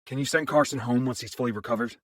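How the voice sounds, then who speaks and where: A man's voice: mid-range voice, quick pace, dry recording, studio quality, lightly acted. A man playing a reluctant, responsible young hero in a fantasy drama. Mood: sombre. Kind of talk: fantasy audio drama acting.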